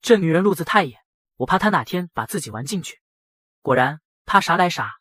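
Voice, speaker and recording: Lively, husky male narrator as a brash hero. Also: fairly high pitch, a little fast, dry recording, clean studio sound. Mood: tense narrating. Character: male hero-narrator, brash young factory boss telling his story. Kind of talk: dramatic first-person scripted narration.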